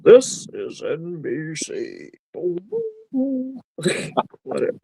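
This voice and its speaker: A man hosting a casual livestream chat, rambling and easygoing, quick to laugh. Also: on the high side, sing-song, vocal fry, mellow, slightly rough. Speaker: livestream host.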